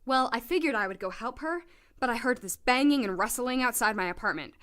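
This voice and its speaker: A woman plays a forthright, composed doctor in an audio drama. Her voice sits a little high, and she speaks in a polite, matter-of-fact and direct way. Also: lively intonation, fairly quick, a bit bright. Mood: recounting.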